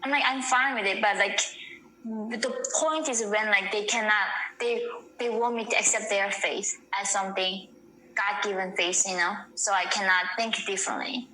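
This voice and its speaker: A young woman with a Korean accent and a bright, tense voice answers interview questions earnestly. English is her second language, and she fills her speech with "like". The recording sounds roomy, with faint ambience behind her. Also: mid-range voice, steady tone, normal speed, slight background noise. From North Korea. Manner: earnest, frequent "like" fillers. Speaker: interview guest.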